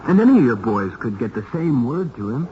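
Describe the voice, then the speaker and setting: A hard-boiled American cop, played by a man, wry and skeptical, with a mid-pitched voice and animated delivery. He talks slangy, in old radio drama style, on a muffled, noisy recording. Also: a little fast, close to an everyday voice. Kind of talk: 1970s radio drama acting.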